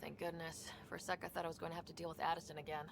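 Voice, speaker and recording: Young earnest space explorer in a game, lowish creaky voice, bit of background noise. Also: female voice, level tone, racing. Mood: relieved. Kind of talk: video game voice acting.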